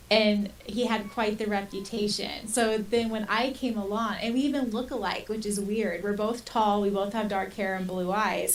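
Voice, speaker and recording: A woman's voice: medium pitch, a little hiss or hum. A woman co-hosting a podcast, chatting informally and rambling through anecdotes, recorded at a distance from the microphone. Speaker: podcast co-host. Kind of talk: casual conversational chat. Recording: distant from the mic.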